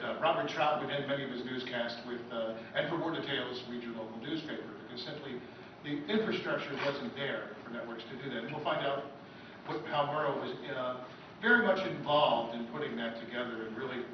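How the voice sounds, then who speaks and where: Male lecturer, informal and off-the-cuff, halting, heard from afar in a very echoey, noisy room. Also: medium pitch. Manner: off-the-cuff, halting delivery with asides. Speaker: historian giving a talk. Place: echoey convention room, live talk. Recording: very echoey room, distant mic, dull, noisy.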